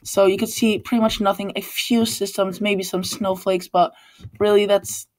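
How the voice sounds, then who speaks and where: A man's voice: sits high, little pitch movement, normal speed, darkish tone. A man with a Polish accent hosting a weather channel, talking loosely over forecast maps and often stopping to correct himself. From Poland. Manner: rambling, frequent restarts and self-corrections. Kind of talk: casual forecast over screen-shared maps. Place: Chicago.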